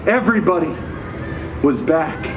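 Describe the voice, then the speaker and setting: A man's voice: on the high side, expressive, measured pace, music in the background. A man playing a cheeky, wisecracking costumed superhero, telling a mock fairy tale live with sarcastic, theatrical asides. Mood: mock-grand. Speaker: costumed theme park character performer telling a story. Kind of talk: live comic storytelling to an audience. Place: theme park show before an audience. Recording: live crowd, noisy, distant mic.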